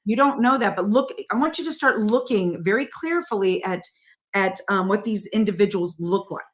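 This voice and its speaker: A middle-aged woman with a mid-pitched voice talks as an interview guest. She presses her points hard, throws rhetorical questions at the listener and speaks to viewers directly. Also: lively intonation, brisk. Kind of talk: emphatic political interview talk.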